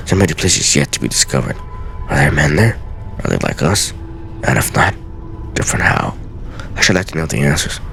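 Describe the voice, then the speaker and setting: A man playing a solemn, brooding scholar reading out secret journal pages in a grave, measured, theatrical way for a game. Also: rumbling low, vocal fry, a bit bright, heavily acted, poor audio. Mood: wondering. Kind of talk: dramatic reading of game lore.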